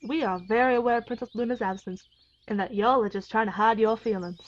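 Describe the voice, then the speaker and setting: A woman's voice: fairly high pitch, fairly even intonation, fast talker, close to an everyday voice. A woman playing a blunt country pony with an American Southern accent in a fan audio drama. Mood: blunt, urgent. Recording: dull audio drama clip with music.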